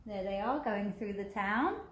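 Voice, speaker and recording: A woman reading a picture book aloud to children in an expressive, deliberate way, drawing out repeated words. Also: medium pitch, slight background noise. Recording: roomy, echoing indoor sound.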